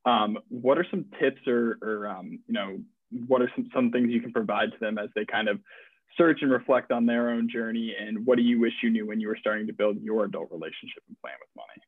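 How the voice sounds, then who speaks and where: A man's voice: lowish voice, brisk, breathy edge, no echo. A man, asking questions conversationally as a podcast co-host over a tinny line. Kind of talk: conversational interviewing. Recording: tinny phone-like line, dry sound.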